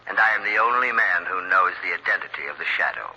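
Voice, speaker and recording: An actor playing a menacing, megalomaniac professor with a formal, gloating delivery in an old-time radio drama. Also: male voice, sits low, lively intonation, fast talker, pushed and bright, a little hiss or hum, heavily acted. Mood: smug menace. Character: villainous professor, megalomaniac murderer posing as a vigilante. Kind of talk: 1940s radio drama acting. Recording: muffled old radio recording.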